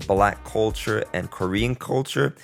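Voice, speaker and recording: A man's voice: fairly low pitch, a little slow, gravelly, soft background music. A man speaking as a podcast host in a casual, conversational interview style. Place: remote long-distance call from Costa Rica.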